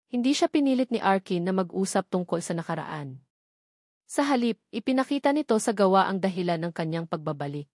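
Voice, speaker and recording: A woman's voice: medium pitch, darkish tone, no echo, clean studio sound. A woman reading a scripted romance story as its narrator.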